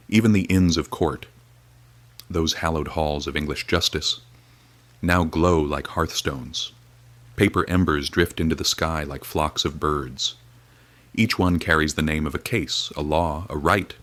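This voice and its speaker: An actor playing a weary, grim radio reporter, speaking in a solemn, measured, grave way. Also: male voice, extremely low pitch, a bit bright, no room sound. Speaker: field reporter. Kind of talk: dramatic period radio reporting.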